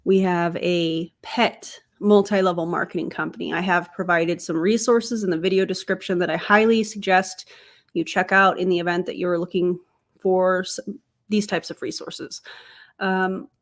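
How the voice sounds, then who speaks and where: A young adult woman hosting a casual livestream, a little congested as she gets over being sick, chatty and quick to laugh. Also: lowish voice, steady tone. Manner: chatty, laughs easily, talks to chat. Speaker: streamer and commentator.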